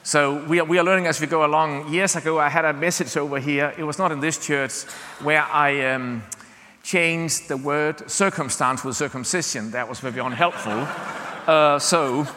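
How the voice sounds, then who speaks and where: A man's voice: a little high, normal speed, pushed and bright, big-room sound. A man from Denmark with a Danish accent, preaching as a visiting speaker in a conversational way, with lots of fillers and restarts and a frequent "yeah?" to the congregation. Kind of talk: sermon. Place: church.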